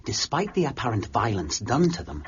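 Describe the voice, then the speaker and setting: An actor playing an earnest, courteous gentleman friend of a detective, with a formal, dramatic way of speaking in a period mystery drama. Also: medium pitch, huge pitch swings, normal speed, lo-fi sound. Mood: grave, dramatic. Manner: polite, formal, dramatic when reading aloud.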